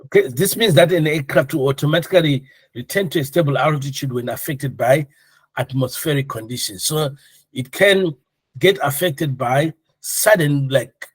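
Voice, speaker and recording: A man's voice: fairly high pitch, unhurried, slightly rough, dry recording. A man instructing a class in an informal, conversational and jokey way, asking questions and explaining step by step. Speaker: air traffic control course instructor.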